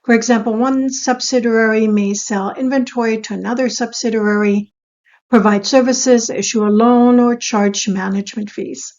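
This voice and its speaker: Female instructor for explainer narration: raised pitch, orderly measured teaching, somewhat dull lo-fi sound. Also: steady tone, darkish tone. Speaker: accounting instructor.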